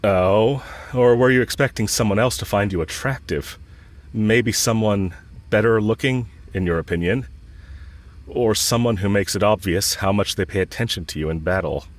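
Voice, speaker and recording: A man's voice: lowish voice, normal speed, close to an everyday voice. A man playing a cocky, smitten villain who talks casually, frankly and teasingly to the listener in a romantic audio roleplay. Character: smitten male villain, cocky, teasing, bluntly confessing love.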